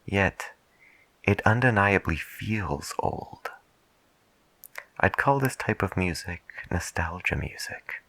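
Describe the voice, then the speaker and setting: A man playing a musing late-night radio DJ, soft-spoken and deliberate in an ASMR style. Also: low voice, lively intonation, vocal fry, heavily acted. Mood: musing, hushed. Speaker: radio host.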